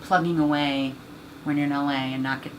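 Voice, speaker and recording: A woman with a husky, low voice, chatting as an interview guest about her acting career, rambling and filling gaps with "you know.". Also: fairly even intonation, brisk, a little hiss or hum, rough recording. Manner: rambling, many fillers. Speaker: actress and voice actor guest. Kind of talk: casual interview chat.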